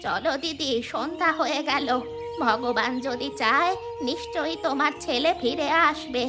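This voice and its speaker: High sweet village lady comforting her friend, woman doing the voice, music behind. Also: moderate pace, natural voice. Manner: gentle, reassuring. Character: kindly village woman, neighbor and helper. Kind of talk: cartoon voice acting.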